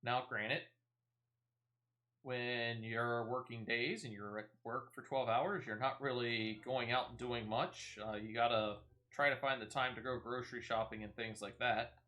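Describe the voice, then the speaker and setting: Bright-voiced man chatting while gaming. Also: medium pitch, fairly even intonation, unhurried. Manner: rambling, conversational, playful. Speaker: video game streamer. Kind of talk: casual gameplay commentary with chat.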